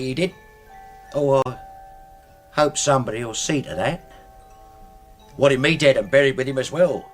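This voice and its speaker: An actor with a British accent playing a deferential, plain-spoken servant and loyal old soldier's man in a radio drama, coolly owning up to dark deeds. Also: medium pitch, lightly acted. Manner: deferential, says "sir" constantly, plain-spoken.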